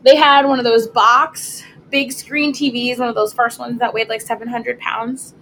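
A young woman hosting a podcast in casual chat, relaxed and easygoing with frequent "um" fillers. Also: on the high side, normal speed, rough recording. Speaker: podcast host.

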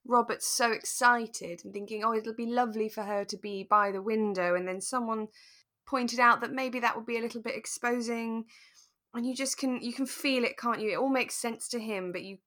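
A woman with a clearly bright, forward voice co-hosts a casual chat show. She explains her thoughts carefully and a little tentatively, in a relaxed conversational style. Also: fairly high pitch, normal speed. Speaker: podcast co-host. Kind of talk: relaxed radio drama discussion chat.

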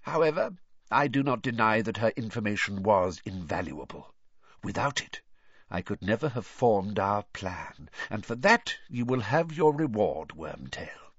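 A man voicing a cruel, cold male dark lord who speaks quietly and silkily with mocking menace. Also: fairly low pitch, very animated, measured pace, husky, no echo, lo-fi sound, full character voice. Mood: cold, silky.

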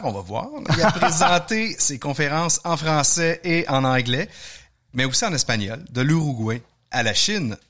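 A man hosts a relaxed talk with guests. He jokes and laughs easily and keeps the conversation moving. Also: on the high side. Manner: laughs often, lively and joking. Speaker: podcast host. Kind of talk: casual chat.

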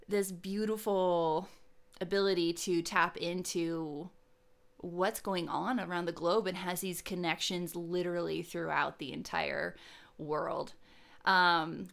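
Slow, low-pitched woman podcast host. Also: vocal fry, a bit bright. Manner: measured, pausing often, earnest. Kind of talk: conversational podcast talk.

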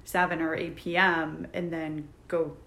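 A woman's voice: low voice, level tone, measured pace, creaky, a bit bright. A young woman co-hosting a casual podcast, talking in a thoughtful, reflective way. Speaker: podcast co-host. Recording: echoey room with faint noise.

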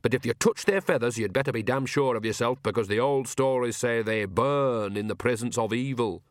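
A man's voice: medium pitch, no echo, close to an everyday voice. A man doing a blunt, earthy old witch. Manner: blunt, homely, unhurried. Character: cheerful earthy older woman witch, blunt.

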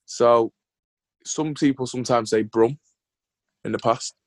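A man's voice: medium pitch, a little slow, no room sound. A man hosting a podcast in laid-back banter, full of casual slang. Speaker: podcast host. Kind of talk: casual chat.

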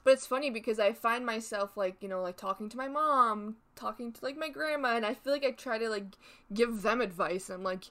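A woman in her early twenties hosting a podcast, chatting casually and lively with frequent laughs and casual swearing. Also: a little high, a little fast. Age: 20s. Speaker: podcast host. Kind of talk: casual chat.

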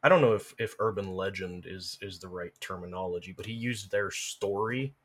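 A man with a somewhat low voice talks as a guest in an informal discussion. He is measured and thoughtful, often qualifying what he says. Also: a bit bright. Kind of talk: casual discussion.

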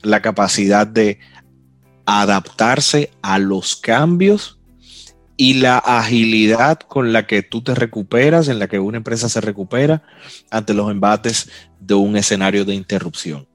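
A man's voice: fairly low pitch, measured pace, dry recording, soft background music. A man speaking as a guest expert on a panel, explaining his ideas in a direct, plain way. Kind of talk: casual panel discussion.

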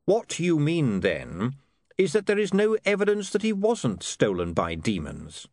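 An earnest young newspaperman from a comic novel, as a male narrator plays him. He is formal and exact, easily exasperated, with a very mobile pitch. The sound is dry and close. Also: huge pitch swings, unhurried, a little mellow, dry recording. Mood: sceptical. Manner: precise, formal, indignant.

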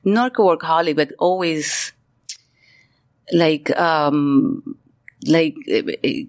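A woman's voice: low-pitched, slow pace. A middle-aged woman from Venezuela with a Venezuelan Spanish accent, telling her story casually and candidly as an interview guest. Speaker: guest, artist.

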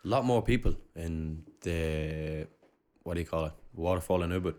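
A man co-hosting a casual podcast chat, wry and joking, with quick comebacks and free swearing. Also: deep voice, dark tone. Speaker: podcast co-host.

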